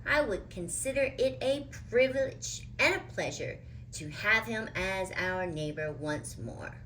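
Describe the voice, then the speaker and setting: A woman voicing a storybook gentleman neighbor who speaks with formal, effusive gratitude, like a speechmaker. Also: mid-range voice, lively intonation, unhurried, a little hiss or hum, low-quality recording. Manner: formal, effusive public address. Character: grumpy male neighbor turned gushingly grateful speechmaker. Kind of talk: storybook character voice.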